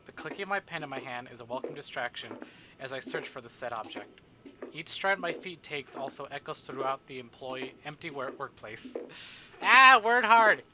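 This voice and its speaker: A man's voice: on the high side, muffled recording, background noise. A man livestreaming a visual novel, reading it aloud dramatically and adding casual joking asides.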